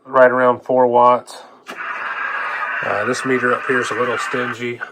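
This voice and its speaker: A man with a medium-pitched voice and a slight cold gives a casual hands-on demonstration. He rambles along in a plain-spoken, folksy way. Clear noise runs behind his voice. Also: little pitch movement, normal speed. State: coming down with a cold. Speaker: vlogger demonstrating a repaired radio.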